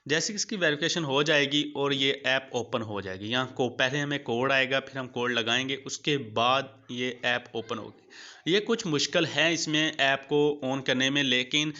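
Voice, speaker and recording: Bright-voiced man, casual tutorial, lo-fi sound. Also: mid-range voice, normal speed. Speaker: YouTube host of app tutorials. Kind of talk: casual tutorial narration with screen walkthrough. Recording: dull lo-fi sound.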